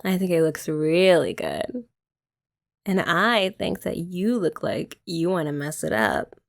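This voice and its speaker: A young woman doing a soft, teasing, affectionate ASMR girlfriend roleplay, often laughing, close to the mic. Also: lowish voice, very animated, slow pace, vocal fry.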